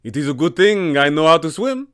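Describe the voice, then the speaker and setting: A man's voice: a little high, big pitch swings, brisk, fairly bright tone, clear, resonant tone, dry recording. Tabletop villain: male performer as swashbuckling rogue captain, silky, flamboyantly polite, theatrical, dry sound. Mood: taunting, gleeful. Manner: flamboyant, mock-polite phrasing. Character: suave, roguish pirate captain, theatrical and mock-courteous.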